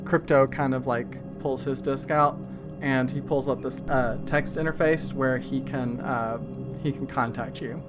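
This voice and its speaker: A man improvising narration as game master of a tabletop game, describing scenes in a casual, halting way. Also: mid-range voice, steady tone. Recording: music playing behind voice. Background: clear background music.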